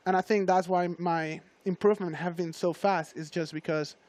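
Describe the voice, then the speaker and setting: A young man from Venezuela, a guest rider in a TV studio interview, talking at length in a relaxed, reflective way. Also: sits high, moderate pace.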